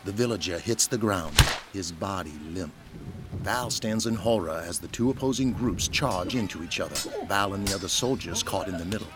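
A man's voice: sits low, moderate pace. A man reading scripted narration for an audio drama, with noise behind him. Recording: clear background noise.

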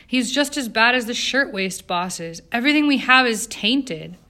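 A woman's voice: on the high side, moderate pace, a bit bright, voice pushed up, natural voice. A woman voicing an indignant, earnest young society woman from an audiobook, emphatic and accusing. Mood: savage outrage. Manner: emphatic, sputtering outrage. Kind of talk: audiobook character acting.

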